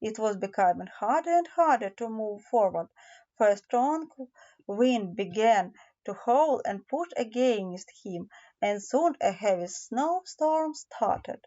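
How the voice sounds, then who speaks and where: A woman narrating a children's fairy tale in a deliberate storybook manner, with small hesitations. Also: fairly high pitch, expressive, mellow, muffled recording. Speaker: audiobook narrator.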